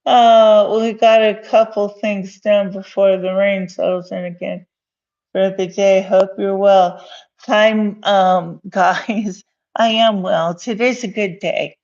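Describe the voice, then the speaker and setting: A woman's voice: medium pitch, fairly even intonation, slow, a little mellow. A woman in her fifties hosting a casual live cooking stream from her home kitchen, rambling with many fillers and little laughs, recorded on a phone on the counter. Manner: rambling, giggly, lots of fillers. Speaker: livestream cooking host. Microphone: phone camera on counter. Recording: dull lo-fi phone audio.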